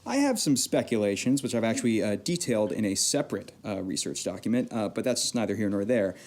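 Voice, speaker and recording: A man's voice: on the low side, animated, fast talker, a little mellow, lightly acted. A man playing an earnest, fussy researcher in an audio drama, explaining tapes in a pedantic, hesitant way. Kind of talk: audio drama expert commentary.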